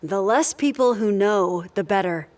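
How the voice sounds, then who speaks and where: Woman as sci-fi crew leader: stern, decisive, commanding, direct, medium pitch. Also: lively intonation, unhurried, darkish tone, natural voice. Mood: firm. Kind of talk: audio drama acting.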